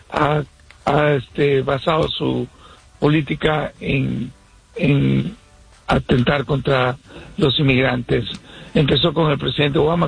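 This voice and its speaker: Caller, man, husky gravelly voice, measured with pauses, radio sound. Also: fairly high pitch, poor audio. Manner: measured, pausing often. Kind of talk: call-in radio opinion.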